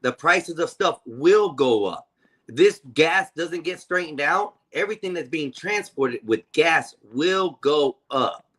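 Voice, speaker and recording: A man's voice: on the high side, lively intonation, slow, fairly bright tone. A man in his forties hosting a live-stream panel, talking bluntly and confrontationally and swearing freely. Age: 40s. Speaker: stream host.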